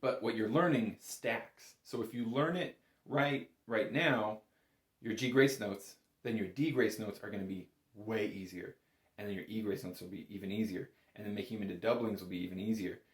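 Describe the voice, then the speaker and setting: Low-voiced man teaching in an echoey room. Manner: patient, encouraging, explains slowly step by step. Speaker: bagpipe instructor. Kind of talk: informal step-by-step music lesson. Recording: roomy, echoey indoor sound.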